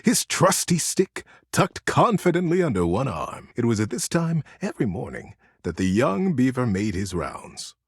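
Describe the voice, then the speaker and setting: A man's voice: mid-range voice, wildly swooping pitch, moderate pace, hardly any pauses, breathy edge, no echo, clean studio sound. A man giving a tender, theatrical narration of a bedtime story. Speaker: voice actor narrating bedtime stories in a game.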